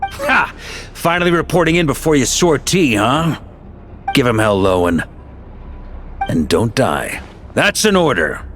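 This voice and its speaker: A man's voice: medium pitch, big pitch swings, a little slow, pauses often, a bit bright, slight background noise. A man playing a commanding, dryly amused knight leader in a video game, speaking with dramatic authority.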